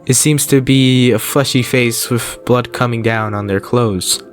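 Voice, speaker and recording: A man's voice: mid-range voice, steady tone, a little slow. A man narrating scripted recap commentary on horror videos in a casual, explanatory storytelling way. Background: background music.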